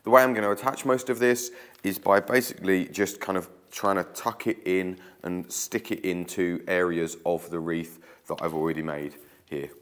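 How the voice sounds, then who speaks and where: Deep-voiced man, croaky from a cold. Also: steady tone, moderate pace. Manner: chatty, hands busy weaving. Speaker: craft tutorial host. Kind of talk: casual craft tutorial. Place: old stone-walled room indoors.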